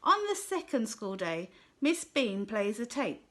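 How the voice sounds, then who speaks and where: A woman with a slightly high voice reads a children's picture book aloud. She tells the story gently and clearly, at an easy pace for young listeners. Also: big pitch swings, unhurried. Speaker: storybook reader.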